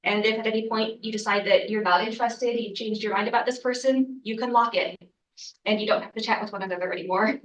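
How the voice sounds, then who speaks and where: A woman's voice: a little high, fairly even intonation, quick pace, fairly bright tone, far from the mic, lo-fi sound. Congested young woman presenting in echoey room. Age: young adult. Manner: earnest, with frequent ums and uhs. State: congested from a cold. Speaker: student presenter. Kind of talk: academic research presentation. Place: lecture room.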